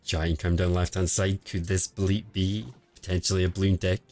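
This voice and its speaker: A man streaming casual commentary on a mobile game match, quiet and relaxed, answering chat between calls of the play. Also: moderate pace. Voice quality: quiet, low-level voice. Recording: home stream audio with faint music behind. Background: faint background music.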